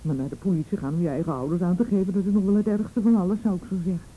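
A woman's voice: lowish voice, very fast, narrow, tinny audio, a little hiss or hum, lightly acted. An actress playing a defensive, frightened mother in a Dutch radio play, hesitant and evasive, with an indignant edge. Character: defensive, evasive, frightened mother shielding her abusive husband.

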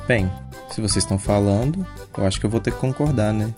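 A man's voice: lowish voice, a little fast, over music. A Brazilian man chatting casually as a podcast commentator. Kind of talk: casual chat.

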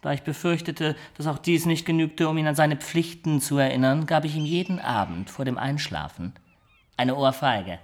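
Actor as a wry adventurer, slightly high male voice. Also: normal speed, natural voice. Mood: wry storytelling. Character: wry adventurer recounting his travels, teasing and rough. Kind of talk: German radio drama acting.

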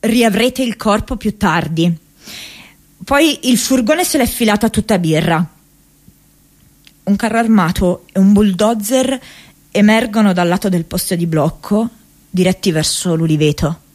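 An actress playing an earnest, haunted young American woman activist in an intimate radio drama monologue, speaking haltingly with hesitant pauses and repeated words. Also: mid-range voice, a little mellow, natural voice. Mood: tense recounting. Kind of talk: intimate radio drama monologue, diary read as spoken memories.

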